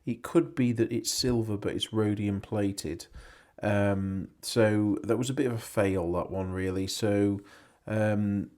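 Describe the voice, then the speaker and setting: Hoarse English man chatting casually. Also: on the low side, fairly even intonation, slow pace, hardly any pauses, a little mellow. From England. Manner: rambling, self-correcting, chatty. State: losing his voice. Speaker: antiques reseller vlogger. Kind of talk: casual vlog chat.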